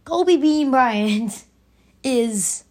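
A raspy young woman hosting her own podcast, arguing her opinion emphatically and repeating her points. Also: high-pitched, lively intonation, very slow, darkish tone. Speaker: podcast host. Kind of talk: opinionated solo podcast rant.

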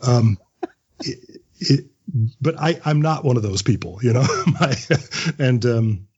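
A man's voice: mid-range voice, animated, unhurried, a little mellow, husky, muffled recording. A man speaking as an interview guest in a casual, anecdotal, conversational way, often chuckling as he talks. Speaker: interview guest, writer and actor.

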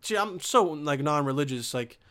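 A man's voice: a little high, expressive, moderate pace, fairly bright tone. A young man from Bosnia talking in a casual, rambling monologue, swearing often and restarting his sentences. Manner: profane, restarts sentences, slangy.

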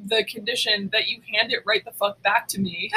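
A woman's voice: mid-range voice, steady tone, moderate pace, pushed and bright, slight background noise, low-quality recording. A woman co-hosting a podcast, telling stories in casual, blunt, emphatic chat with plenty of swearing. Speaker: podcast co-host. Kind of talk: casual, profane storytelling chat.

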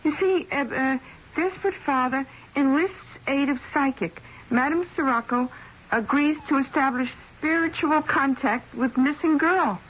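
High-voiced, guileless young woman, vintage radio. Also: measured pace, noisy background. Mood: curious. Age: young adult. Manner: casual, hesitant, girlish. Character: guileless, hesitant young woman, missing girl's friend. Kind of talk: old-time radio drama acting. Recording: dull, tinny old radio recording.

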